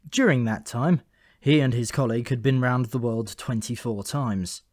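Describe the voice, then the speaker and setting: A man reads a comic fantasy novel as an audiobook narrator. His voice sits a little on the high side of middle or at middle pitch, and the recording is dry with no room sound. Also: normal speed, a little mellow, no echo.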